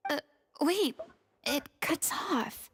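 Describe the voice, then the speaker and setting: A woman playing a composed, earnest young investigator in a video game, speaking in a deliberate, explanatory way. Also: sits high, animated, slight background noise, heavily acted. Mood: grave.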